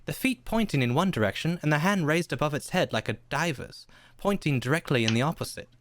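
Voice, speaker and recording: Man as a higher-voiced young adventure narrator. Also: expressive, fairly quick, no echo, close to an everyday voice. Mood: measured, grave. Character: earnest young adventurer narrating his tale. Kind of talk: scripted storytelling in a radio play.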